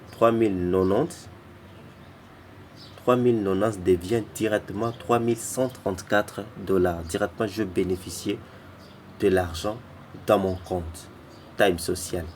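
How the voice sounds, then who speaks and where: A man giving a casual walkthrough of an app, halting and often repeating words and restarting phrases, who says he is not an English speaker. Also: on the low side, steady tone, a little mellow, slight background noise. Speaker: app tutorial presenter. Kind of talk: casual screen-recorded walkthrough.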